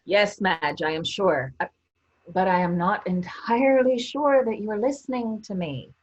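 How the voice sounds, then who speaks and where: A woman's voice: medium pitch, moderate pace, close to an everyday voice. A woman playing a practical, teasing member of a travelling theater troupe in a staged play reading. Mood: busy, testy. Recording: dull, lo-fi sound.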